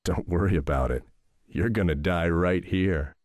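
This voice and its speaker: An actor playing a calm, pompous villain who poses as a self-help coach in a game dub, measured and condescending in manner. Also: male voice, low voice, no echo, studio quality, natural voice. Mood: cold, condescending. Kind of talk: scripted anime game dub acting. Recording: loud music behind the voice.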